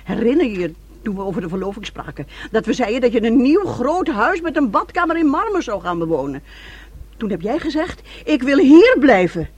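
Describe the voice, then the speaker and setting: Husky, scolding middle-aged mother. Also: on the high side, sing-song, fairly quick, dry recording. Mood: stern, lecturing. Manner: scolding, lecturing. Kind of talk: Dutch radio play acting.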